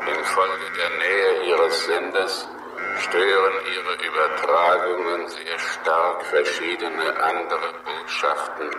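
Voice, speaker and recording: A vast, scholarly cloud being in an old radio drama. The voice is deep and echoing, every phrase slow, formal and evenly stressed, buried in loud noise. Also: male voice, little pitch movement. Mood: detached, measured. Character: giant intelligent alien cloud, calm, aloof, scholarly. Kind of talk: 1960s German radio play acting. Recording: echoing, distant, very noisy old radio recording.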